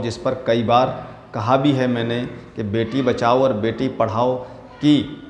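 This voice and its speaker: A man presenting political news commentary in a deliberate, halting manner. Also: medium pitch, slight background noise. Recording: echoey room with long reverb.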